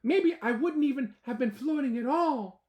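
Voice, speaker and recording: A man voicing a young boy ant in a children's storybook, talking in a high, eager, earnest way. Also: normal speed, a little mellow. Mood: relieved. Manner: eager, then solemn preachy.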